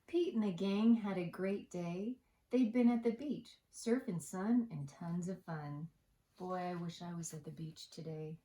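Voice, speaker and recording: A woman reading a picture book to young children as storytime host, singsong and deliberate, with asides to the listeners. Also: on the low side, dark tone. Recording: echoey room.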